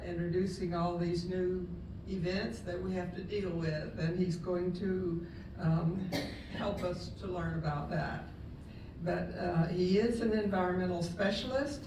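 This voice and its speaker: Woman host, gravelly, halting intro; far-off roomy recording with noise. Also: fairly low pitch. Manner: halting, many fillers and restarts. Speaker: program host introducing the speaker. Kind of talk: casual spoken introduction. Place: community meeting room. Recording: echoey hall, far from mic, noisy.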